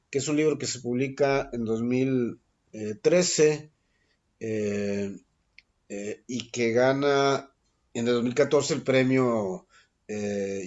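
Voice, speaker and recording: A man, a guest poet answering interview questions thoughtfully, pausing often with fillers and restarting his sentences. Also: medium pitch, darkish tone. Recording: dull lo-fi recording.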